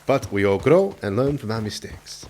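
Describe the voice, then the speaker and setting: A man's voice: lowish voice, moderate pace, slight background noise. An actor playing a friendly, earnest Mexican lawman in a radio drama, polite and chuckling as he probes. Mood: probing, genial. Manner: courteous, chuckling, lively and probing.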